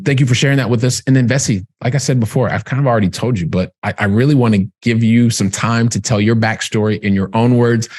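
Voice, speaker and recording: A man's voice: fairly low pitch, a little mellow. A man hosting an interview podcast, upbeat, often repeating words and restarting sentences. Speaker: podcast host.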